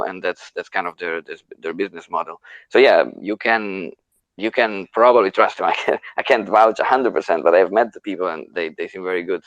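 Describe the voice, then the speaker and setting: Male discussion host, low register, dry close sound, conversational and emphatic, unscripted. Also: dry recording, lo-fi sound. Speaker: host moderating an online audio discussion. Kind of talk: casual unscripted group discussion. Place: live online audio chat room.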